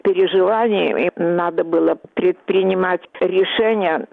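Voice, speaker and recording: An older woman with a slight English accent, a piano professor answering interview questions slowly and thoughtfully over a phone line. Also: lowish voice, fairly even intonation, vocal fry, no room sound. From Lithuania. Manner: slow, thoughtful, measured. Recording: dry, tinny phone line.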